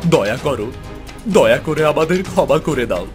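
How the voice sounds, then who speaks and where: A frightened, pleading husband in a cartoon fairy tale , acted by a man. His slightly high voice swings dramatically as he implores, with clear music underneath. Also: animated, normal speed, close to an everyday voice. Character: frightened, pleading married man trapped in a cave.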